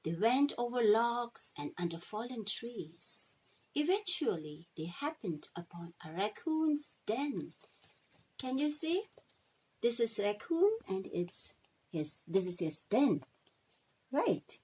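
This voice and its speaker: A woman teacher reading a storybook aloud to children, pausing to check in with short questions. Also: on the high side, sing-song, a little mellow, slightly rough, rough recording. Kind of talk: animated storybook read-aloud.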